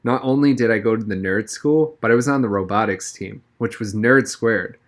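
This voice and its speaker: A man's voice: lowish voice, normal speed. A man narrating a children's novel aloud in the first person. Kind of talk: storybook read-aloud narration.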